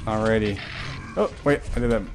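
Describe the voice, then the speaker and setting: A man's voice: on the low side, brisk, over music, poor audio. A man giving casual, joking play-by-play commentary on an online game match, swearing freely. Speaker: esports commentator.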